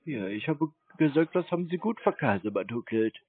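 Guy on a phone call, deadpan and naive act, rough husky voice, thin phone audio. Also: medium pitch, a little fast. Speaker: prank call recipient stringing along a scammer. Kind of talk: phone conversation. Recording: muffled narrowband phone audio.

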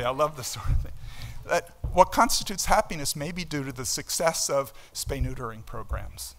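A man's voice: mid-range voice, fairly bright tone. An older man, a professor giving a conference lecture in a conversational, explanatory way, emphatic, with rhetorical questions and asides. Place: indoor auditorium stage at a lectern.

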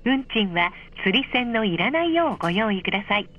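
A woman reading a polite, measured scripted announcement over a train's speakers, clearly enunciated. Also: medium pitch, very animated. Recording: dull, muffled train speaker recording.

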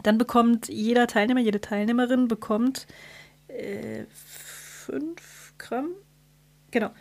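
A woman's voice: fairly high pitch, fairly even intonation, slow pace, gravelly, darkish tone. A woman co-hosting a podcast, explaining things in an informal, conversational way. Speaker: podcast co-host. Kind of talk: casual podcast chat, explaining.

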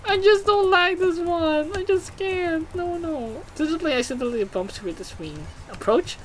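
An excitable gaming host giving casual commentary, exclaiming and swearing while reading game text aloud. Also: very high voice, moderate pace, a little hiss or hum, low-quality recording.

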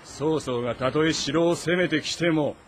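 An actor playing a proud, embittered ageing warlord with haughty, brooding lordly speech in an audio drama. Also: male voice, on the high side, normal speed, slight background noise, lightly acted. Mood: commanding. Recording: music behind the voice.

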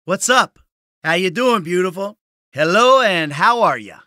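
A man's voice: sits high, animated, a little slow, sharp tone, no echo, clean studio sound, heavily acted. A man playing a cynical, short-tempered middle-aged American criminal in a video game, with a blunt, sardonic tough-guy delivery. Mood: sarcastic, casual.

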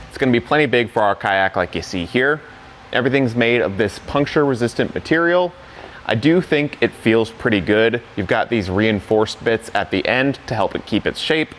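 A man's voice: medium pitch, a little hiss or hum. A young man plainly walking through a product demo as reviewer, candid about its weak points, in an indoor studio bay. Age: young adult. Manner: plain-spoken, candid, walks through details.

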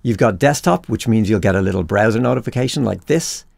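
Male tutorial presenter, heavy vocal fry, casual explanatory screencast read, close and dry. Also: fairly low pitch, expressive, brisk, darkish tone, dry recording. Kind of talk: instructional screencast narration, conversational.

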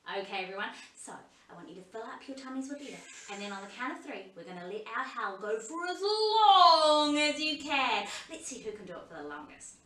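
A woman's voice: fairly high pitch, very animated, brisk, few pauses, pushed and bright. A young woman leads children's storytime in an encouraging, sing-song way, speaking directly to young viewers. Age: young adult. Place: living room, indoors. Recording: roomy, distant camera sound.

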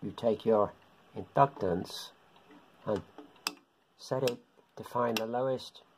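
A middle-aged man with a husky voice gives an informal beginners' tutorial, explaining haltingly in short phrases at home. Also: fairly low pitch, noisy background. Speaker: hobbyist radio instructor. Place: home living room.

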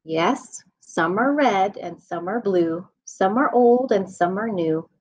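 Woman, 30s, children's storytime reader. Lively swooping intonation, unhurried rhyming delivery, slightly muffled webcam sound. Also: medium pitch. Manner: expressive, deliberate sing-song rhyme reading. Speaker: school staff nutritional specialist reading a children's storybook. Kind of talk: read-aloud storytime for children. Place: indoor room at home.

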